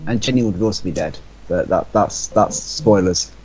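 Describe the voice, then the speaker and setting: A man's voice: deep voice, normal speed, darkish tone, a little hiss or hum. A young man chatting casually with friends, interjecting insistently and often repeating himself. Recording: dull lo-fi audio, faint music.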